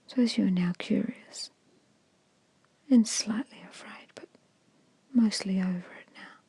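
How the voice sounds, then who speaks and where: A woman whispering a guided meditation in a slow, soothing, hushed storytelling manner, close to the microphone. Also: mid-range voice, no room sound. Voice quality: breathy whisper. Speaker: hypnosis guide.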